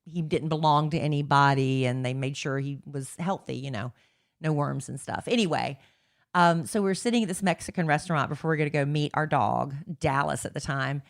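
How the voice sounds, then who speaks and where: A woman's voice: sits low, fairly quick, vocal fry. A middle-aged woman, co-hosting a podcast in a chatty, rambling way. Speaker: podcast co-host. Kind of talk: casual chat.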